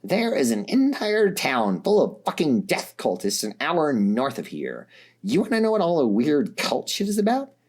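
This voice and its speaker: A man voicing an angry, foul-mouthed young woman who growls her words slowly and low. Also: huge pitch swings, a little mellow, full character voice. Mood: growling.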